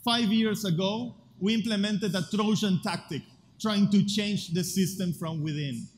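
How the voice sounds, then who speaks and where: High-voiced Argentine man in a big hall. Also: a little hiss or hum. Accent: Argentine Spanish. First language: Spanish. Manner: earnest, deliberate with rhetorical pauses. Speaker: speaker at a future festival. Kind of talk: conference talk. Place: large hall at a festival. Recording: live hall with long reverb, faint ambience.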